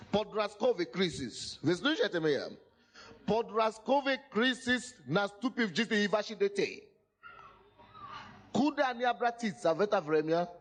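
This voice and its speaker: A man preaching in Russian, which is not his first language, in a high voice that swings widely in pitch. He drives each point home and keeps checking that the congregation hears him. The sermon is delivered live at a church service. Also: a bit bright. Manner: emphatic, repeatedly asks listeners if they hear him. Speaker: pastor.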